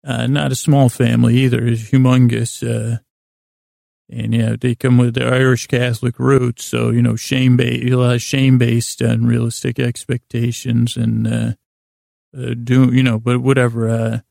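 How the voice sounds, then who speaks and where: A man hosting a podcast in a gravelly, creaky voice, rambling through a halting, self-deprecating bedtime monologue that trails off and repeats words. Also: medium pitch, level tone, warm tone, no echo, studio quality. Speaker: podcast host.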